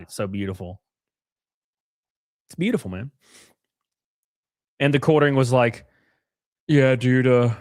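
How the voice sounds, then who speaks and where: Male streamer, mid-pitch, congested, dry booth-close sound, sarcastic banter. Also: no room sound. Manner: sarcastic, rambling, greets chatters by name. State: sniffly, congested sinuses. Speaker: livestream host. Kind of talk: casual reaction livestream chat.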